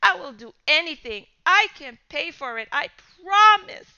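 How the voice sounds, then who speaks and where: A woman's voice: very high-pitched, expressive, very fast, pushed and bright, highs cut off, voice pushed up, exaggerated voice. A woman voicing a frightened teenage boy who begs and pleads in a storybook reading. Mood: pleading.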